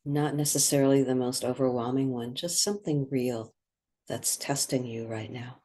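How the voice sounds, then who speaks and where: A meditation guide speaking in a gentle, contemplative, soothing and measured way, with long pauses between phrases. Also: mid-range voice, steady tone, slight background noise.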